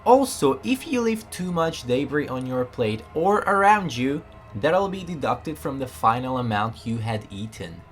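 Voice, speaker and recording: A man reading a scripted voiceover narration with lively storytelling delivery. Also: medium pitch, a little slow, faint music behind.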